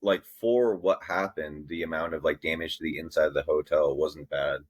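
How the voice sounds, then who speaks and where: A man's voice: rumbling low, moderate pace. A young man from the Pacific Northwest, a podcast guest, chatting casually and rambling with lots of fillers. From Vancouver, Washington. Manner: relaxed, rambling with many fillers. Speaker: podcast guest, snowboard instructor. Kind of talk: casual chat.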